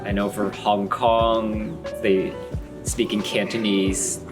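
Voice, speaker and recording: A very low-voiced man answers a street interview loosely, letting his thoughts trail off. Loud music fills the background. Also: flat delivery, slow pace.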